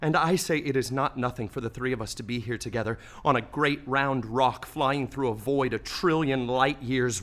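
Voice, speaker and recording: A melodramatic man of faith facing death in a comic audio play. His voice sits high and has a forceful, bright edge. He exclaims and gushes, wholly given over to whatever he feels. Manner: melodramatic, exclamatory, wailing and gushing by turns. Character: dying man of faith in desert, theatrical, swings panic to wonder.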